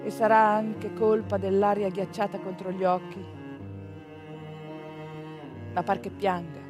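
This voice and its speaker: A woman delivering a theatrical monologue in a conversational way, with pointed pauses and rhetorical asides. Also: medium pitch, little pitch movement, music in the background. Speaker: stage actress narrating a monologue.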